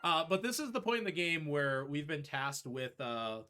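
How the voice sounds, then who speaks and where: A man's voice: fairly high pitch, very animated, moderate pace, bright, forceful tone. A man giving casual live commentary as a speedrun commentator, in an informal conversational way.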